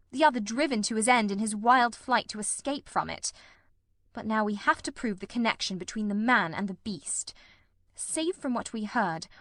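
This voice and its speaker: Someone voicing a high-pitched, shrewd male detective. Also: pushed and bright, no echo, clean studio sound. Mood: grimly resolute. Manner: formal, deliberate, analytical. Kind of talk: old-time radio mystery drama.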